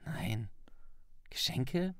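Host role: man, conversational and playful, middle-pitched, recorded dry without room echo. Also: lively intonation, very slow, fairly bright tone. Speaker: podcast host. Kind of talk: casual chat.

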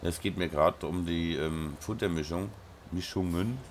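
A man with a Rhine-Hessian German accent, hoarse from a sore throat and blocked nose, casually commenting on his farming game and mumbling with many ums. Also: low voice, unhurried, a little hiss or hum. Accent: Rhine-Hessian German dialect. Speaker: let's play host.

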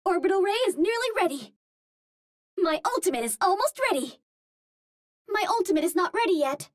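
A woman's voice: very high-pitched, very animated, normal speed, no echo, clean studio sound. A woman voicing a cute, earnest young Martian explorer in a video game, with a light girlish voice and polite, precisely worded phrasing. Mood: alert, businesslike. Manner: formal, precise wording without contractions, earnest and polite. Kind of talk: video game hero callouts.

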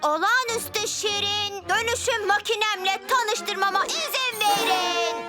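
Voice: very high-pitched, unhurried, over music. An actor voicing a boastful, theatrical child villain, a boy mad scientist, in a children's cartoon dub. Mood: gloating. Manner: gloating, theatrical.

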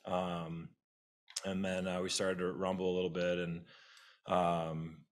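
A very deep man with a flat, even delivery speaks as a hockey player into a table mic in an arena press room. He talks plainly and offhandedly, with lots of ums and uhs. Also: a little slow. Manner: plain, offhand, with many fillers. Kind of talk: postgame press conference answers.

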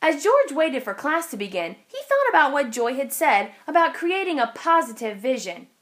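A woman reading a children's picture book aloud in an expressive storytelling manner. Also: high-pitched, moderate pace. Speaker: storybook reader.

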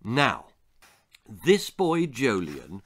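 A man voicing a bony old grandmother in a storybook, patiently and teasingly telling a ghost story in a slow, deliberate way. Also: mid-range voice, wildly swooping pitch, husky, exaggerated voice.

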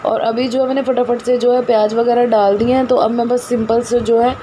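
Hoarse woman in her thirties, rambling housewife vlogger, steady narrow pitch on the high side, phone mic in a kitchen with a noisy motor behind. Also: a little fast. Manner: rambling, chatty, lots of fillers. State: hoarse with a cold and cough. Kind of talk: casual daily vlog chat. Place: home kitchen, indoors. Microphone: phone camera, selfie then propped up across the room. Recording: noisy phone recording, pump motor running.

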